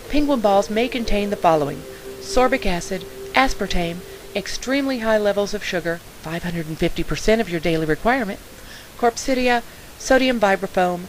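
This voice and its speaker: A woman announcer reading a scripted parody commercial on a hissy recording. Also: mid-range voice. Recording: clearly noisy, hissy track.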